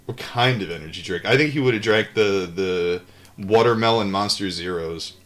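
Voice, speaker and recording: A man with a fairly low voice reads aloud to an online audience. His delivery is that of an informal audiobook host, with irreverent, off-the-cuff commentary in a rambling style. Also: moderate pace, a bit bright. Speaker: host reading a book aloud to a live chat. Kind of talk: audiobook-style reading with casual off-the-cuff asides.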